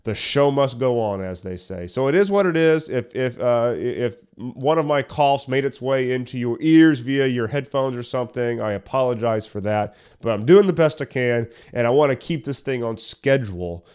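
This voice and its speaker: A man hosting a solo podcast tutorial, talking conversationally and a little hoarse while getting over a cough, walking listeners through tips step by step. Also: mid-range voice, animated, moderate pace, few pauses, a little mellow. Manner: conversational, explains step by step with questions. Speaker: podcast host. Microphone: studio podcast mic.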